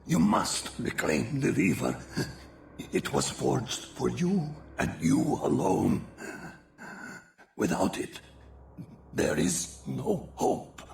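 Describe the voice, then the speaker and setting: An actor playing a dying ancient vampire mentor in a video game, weak, halting and solemn, with a gravelly, breathy voice. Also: male voice, deep voice, huge pitch swings, darkish tone, full character voice. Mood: urgent, weak. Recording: echoey, distant, faint noise.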